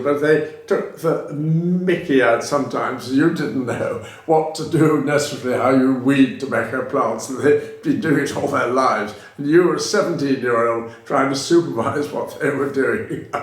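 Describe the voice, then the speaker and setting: A man's voice: medium pitch, distant mic. Older British man reminiscing in an echoey room. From Britain. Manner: rambling, self-correcting, laughs between anecdotes. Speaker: interviewee. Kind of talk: reminiscing in a casual interview.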